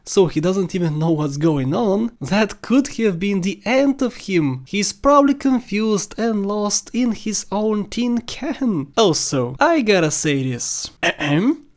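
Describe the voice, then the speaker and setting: A countdown host with a mid-pitched voice, narrating video game scenes. The delivery is scripted commentary, addressed straight to the listener. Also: lively intonation, slow pace.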